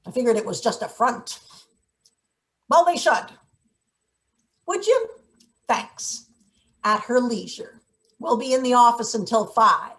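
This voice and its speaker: A woman's voice: a little high, lively intonation, slow, pauses often, fairly bright tone, heavily acted. A woman acting a brusque, scheming, cynical maintenance boss in a read-through of a satirical stage play. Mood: smooth, salesmanlike. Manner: bossy, clipped, sardonic.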